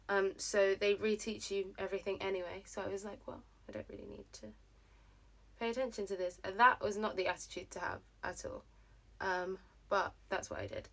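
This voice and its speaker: A young woman chatting casually through her get-ready-with-me routine, rambling with lots of fillers, her voice slightly hoarse. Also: medium pitch. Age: young adult. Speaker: vlogger.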